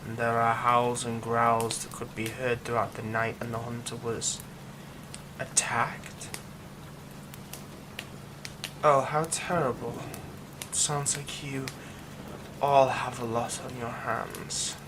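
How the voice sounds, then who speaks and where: A bitter, volatile recluse, voiced by a man in an ASMR audio roleplay. He speaks at a middling pitch, mostly hushed and half-whispered, then bursts into scornful, cutting anger. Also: flat delivery, slow pace, background noise, natural voice. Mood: hushed, wistful. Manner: soft half-whispered talk breaking into bitter, scornful outbursts.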